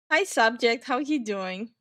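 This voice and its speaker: A woman's voice: fairly high pitch, fairly bright tone. A woman from Estonia hosting a casual live stream, ranting heatedly, swearing often, and greeting viewers in chat. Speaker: streamer and channel host.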